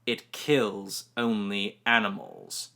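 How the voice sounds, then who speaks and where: A man voicing an ancient, stern woman who tests a boy with slow, commanding menace. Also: fairly low pitch, level tone, gravelly, bright, forceful tone, full character voice.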